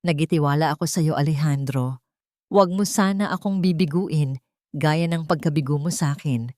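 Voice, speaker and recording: A woman's voice: low-pitched, normal speed, mellow, dry recording, studio quality, lightly acted. A woman voicing a vengeful, calculating woman in an audiobook, sweet but cold in manner. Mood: coolly wounded. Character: vengeful woman feigning sweetness to her lover, coldly calculating.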